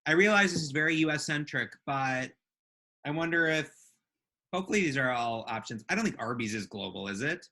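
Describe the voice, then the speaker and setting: A woman with a bright, forward voice co-hosts a relaxed live podcast. She talks casually and playfully, as if chatting with friends. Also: sits low, a little fast. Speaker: podcast co-host.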